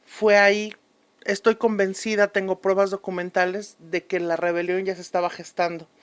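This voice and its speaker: A woman's voice: medium pitch, level tone, moderate pace, close to an everyday voice. A woman playing a learned, earnest historian who explains things formally as a guest in a radio drama. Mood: assured, earnest. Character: learned, earnest female historian, a convinced researcher. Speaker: expert guest on a history programme.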